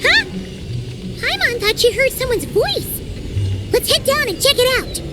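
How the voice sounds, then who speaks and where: A woman's voice: very high voice, sing-song, unhurried, pushed and bright, faint music behind, heavily acted. A woman voicing a tiny floating female companion in a game, bubbly and chirpy, who talks about herself in the third person. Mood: excited. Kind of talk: game voice acting.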